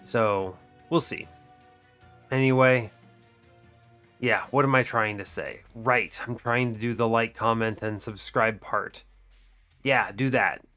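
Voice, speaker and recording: A man's voice: on the low side, fairly even intonation, slow, pauses often, sharp tone, soft background music. A young man hosting a gaming channel, talking through a card game while sick, his voice muted and a bit nasal, rambling and correcting himself as he goes. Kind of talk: game commentary. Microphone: desk microphone.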